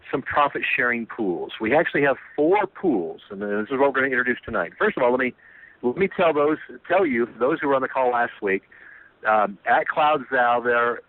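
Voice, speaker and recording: A man's voice: mid-range voice, no echo. A man presenting his company's plan on a conference call, earnest and repetitive, with many restarts and fillers. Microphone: telephone. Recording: tinny phone line.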